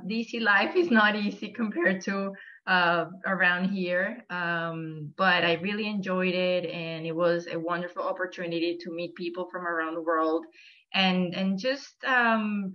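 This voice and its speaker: A woman from Venezuela with a Venezuelan Spanish accent, giving a friendly online presentation to a church group and filling her pauses with "um". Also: on the low side. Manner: friendly, many "um"s, explaining slide by slide. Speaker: guest speaker. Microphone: video call. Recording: muffled video call audio.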